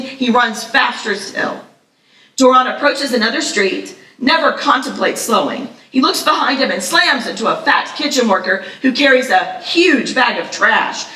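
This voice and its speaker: A woman narrates a screenplay live, reading the action lines vividly. Her voice is bright and on the high side. The sound is a little distant, as from a mic across the room. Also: reverberant.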